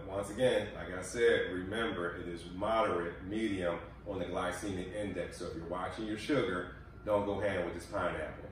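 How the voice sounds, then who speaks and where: A man's voice: deep voice, slight background noise. A man in his forties hosting a casual cooking demonstration in a home kitchen, chatty and coaching as he gives health tips. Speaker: cooking show host. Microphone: far camera mic. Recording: echoey kitchen from far off.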